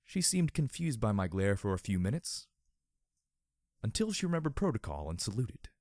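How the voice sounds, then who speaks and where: Animated, overly dramatic male storyteller. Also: lowish voice, moderate pace, no room sound, studio quality. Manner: theatrical, mock-serious. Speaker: story narrator. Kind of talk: overly dramatic scripted narration.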